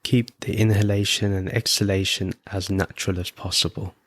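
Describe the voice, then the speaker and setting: A man leading a guided meditation as host, giving gentle, unhurried instructions with frequent pauses. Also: low voice, level tone, no room sound. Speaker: podcast host guiding meditation.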